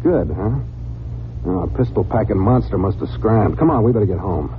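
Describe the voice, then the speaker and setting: A man's voice: fairly low pitch, lively intonation, brisk, natural voice. An actor playing a flippant, flirtatious detective's legman in 1940s radio style, with wry patter. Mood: brisk, wry. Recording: tinny, noisy old radio recording.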